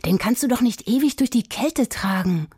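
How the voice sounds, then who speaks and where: A gruff, indignant boy from a children's story, played by a woman reader. He talks bluntly and scolds like someone who knows better. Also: a little high, lively intonation, moderate pace, a little mellow, no echo, clean studio sound, pitched above the performer's own voice. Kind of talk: children's audiobook character acting.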